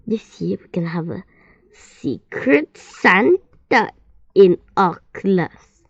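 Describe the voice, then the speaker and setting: A woman reading the lines of a brisk, no-nonsense female teacher making a class announcement, in a storybook read-aloud. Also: medium pitch, expressive, gravelly, no room sound, lo-fi sound, exaggerated voice.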